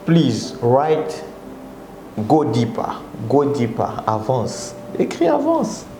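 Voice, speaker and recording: A Congolese man with a Congolese French accent hosting a live motivational talk, coaxing his viewers and often jumping into high exclamations. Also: very slow. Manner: animated, coaxing audience, rising into high exclamations. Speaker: motivational speaker and live host. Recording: echoey room with audible background noise.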